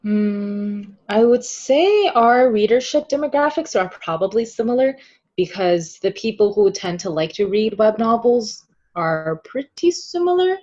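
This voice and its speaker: A woman's voice: mid-range voice, big pitch swings, measured pace, warm tone, lo-fi sound. A woman speaking as a podcast guest, chatty and candid in a casual conversational interview. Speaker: podcast guest, web novel translator.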